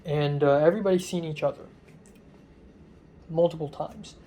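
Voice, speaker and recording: A tired young man with a raspy voice, rambling through a casual vlog from a hotel room, halting with many ums. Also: fairly high pitch, mellow, slight background noise. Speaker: vlogger.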